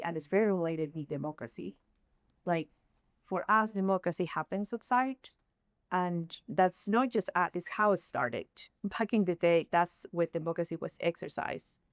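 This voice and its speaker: A woman from Chile with a Chilean Spanish accent, leading a workshop session and often pausing to search for words. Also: fairly low pitch, no echo. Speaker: workshop presenter.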